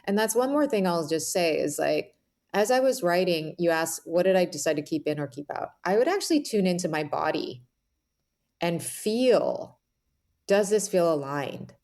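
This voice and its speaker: A woman's voice: on the low side, lively intonation, normal speed, a little mellow. A woman speaking as an author guest in a reflective, conversational interview, often dropping in "like" and "you know".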